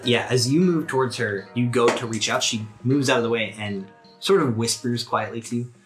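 A man's voice: mid-range voice, normal speed, over music, rough recording. A man speaking as game master, narrating a tabletop game and chatting casually with players.

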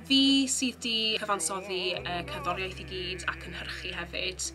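A woman's voice: on the low side, fairly even intonation, moderate pace, loud music behind the voice. A young woman with a Welsh accent, a composer talking about her work in a studio, chatty, with many filler sounds. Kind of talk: interview. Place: recording studio.

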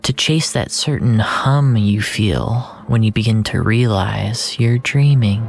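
A man narrating first-person fiction in a deliberate, brooding, dramatic way, drawing out his phrases. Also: fairly low pitch, vocal fry, husky. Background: music.

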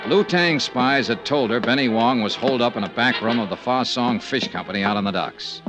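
An American actor playing a confident, brisk insurance investigator in 1950s radio drama, crisp and matter-of-fact. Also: male voice, mid-range voice, close to an everyday voice. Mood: terse narration. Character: sharp, confident male insurance investigator, hard-boiled and brisk. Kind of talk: 1950s radio acting with first-person narration.

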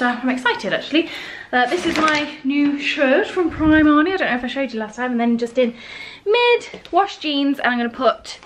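A young woman with an English accent chats to a handheld camera held at arm's length, upbeat and full of little fillers. Her voice sits a touch high, with a faint hiss of noise behind it. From England. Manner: chatty and upbeat, many fillers. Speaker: vlogger. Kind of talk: casual vlog chat to camera. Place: car, then a home kitchen.

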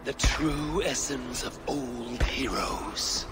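An actor voicing an ancient, solemn male god in a video game, speaking in a grave, measured way. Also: medium pitch, loud music behind the voice.

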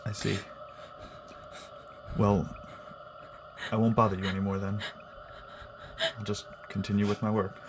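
An actor playing a timid, nebbish, lovestruck male lab assistant in an audio drama, speaking in a halting, earnest stammer. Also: lowish voice, level tone, background noise. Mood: hurt, dutiful.

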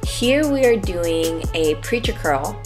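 A young woman talks through an arm workout in an easy, upbeat manner, explaining each move as she goes. Her voice sits a little low. Music plays clearly underneath her. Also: expressive, slow. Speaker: fitness vlogger. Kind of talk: casual workout walkthrough. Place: gym.

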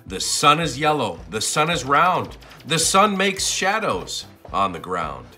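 A man reading a children's storybook aloud in an expressive, sing-song and deliberate way. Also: a little high, soft background music. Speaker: storybook narrator.

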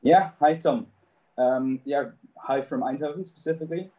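A man's voice: a little high, normal speed, dark tone. A graduate student in his twenties on a video call, earnest and peppering his speech with "like". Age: 20s. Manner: earnest, fillers like "like". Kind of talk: casual panel talk.

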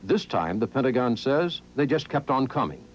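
A man's voice: medium pitch, big pitch swings, moderate pace. American newsman on muffled old tape. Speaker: television news correspondent. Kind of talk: scripted news narration. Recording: muffled old videotape, clear background noise.

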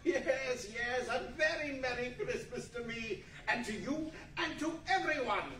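A man's voice: very high-pitched, a little slow, sharp tone, heavily acted. A man acting a reformed old miser in a 1940s-style radio play, effusive, generous and repentant in a theatrical manner. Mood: joyful. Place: large indoor meeting room, live stage reading. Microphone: far camera. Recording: echoey meeting room from far off, noisy.

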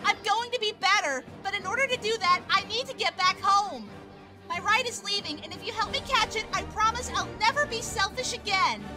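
A woman voicing an exuberant, goofy, melodramatic young girl hero in an animated comic dub. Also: extremely high pitch, brisk. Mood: earnest, determined. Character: excitable, goofy, heroic twelve-year-old girl. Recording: music behind the voice.

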